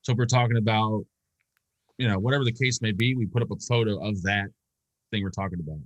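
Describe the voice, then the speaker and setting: A man's voice: on the low side, fairly even intonation, quick pace, no echo, lo-fi sound. A man co-hosting a live online show, trading teasing jokes in casual banter. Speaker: co-host of a live vape show. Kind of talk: casual live-stream chat.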